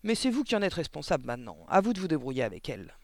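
A man's voice: on the high side, wildly swooping pitch, rapid-fire, creaky, slight background noise, full character voice. A man voicing a rude, impatient father in a storybook, grumbling and barking in slangy speech. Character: gruff, rude, impatient small-time father.

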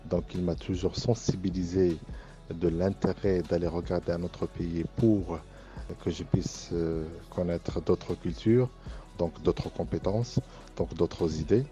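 A middle-aged man from Morocco, an Arabic speaker, giving an earnest, measured interview as a university professor, often pausing on hesitant fillers. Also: low voice, steady tone, dark tone, faint music behind. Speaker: university professor and engineering director. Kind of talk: interview testimony for a student podcast.

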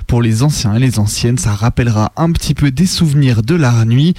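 A man's voice: mid-range voice, a little fast, warm tone, no echo. A man from the suburbs of Paris speaking on a radio show, presenting and narrating first-person audio fiction. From France, Paris suburbs.

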